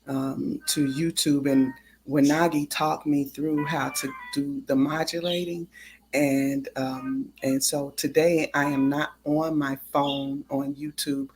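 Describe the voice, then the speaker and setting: A livestream host talking casually and haltingly, with drawn-out pauses between phrases. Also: mid-range voice, vocal fry.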